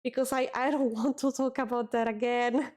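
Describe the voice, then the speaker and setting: A woman's voice: fairly high pitch, fairly even intonation, moderate pace, a little mellow. A young woman with an Italian accent, chatting casually with her stream audience, often repeating words and reading chat messages aloud.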